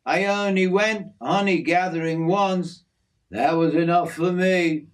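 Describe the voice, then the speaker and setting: Man voicing a blunt, high-pitched Aussie bushman. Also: fairly even intonation, unhurried. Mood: matey. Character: hearty, teasing Australian bushman mate. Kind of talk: acted character in a narrated memoir.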